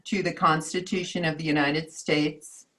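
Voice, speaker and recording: Low-voiced woman repeating an oath. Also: steady tone, normal speed, pushed and bright. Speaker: new school board member. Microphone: home microphone on a video call.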